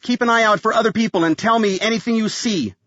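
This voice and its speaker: A male narrator plays a tough armed woman in a thriller audiobook. The voice is raised in pitch, terse and urgent, firing off clipped questions and orders in a dry recording. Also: very animated, unhurried, a bit bright, husky, no room sound, muffled recording, full character voice. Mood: commanding.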